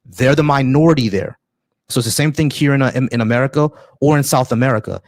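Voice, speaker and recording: A man's voice: medium pitch, quick pace, darkish tone, dry recording. A man hosting a call-in debate show, pressing his callers and often cutting in with "hold on, bro.". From Haitian family background. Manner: interrupting, repeats words, says "hold on, bro".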